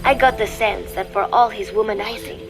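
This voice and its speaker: A woman playing a young spy who records a wistful, torn diary in measured, formal, reflective words, as a scripted game monologue. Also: medium pitch, lightly acted. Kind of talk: scripted dramatic monologue on tape. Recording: music behind the voice.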